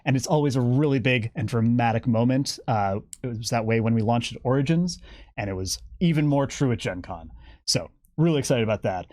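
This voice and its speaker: A man's voice: mid-range voice, a little fast, darkish tone, no room sound. Male game developer guest, conversational explainer, wry and keen, very dry close sound. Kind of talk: casual explanatory chat about a game.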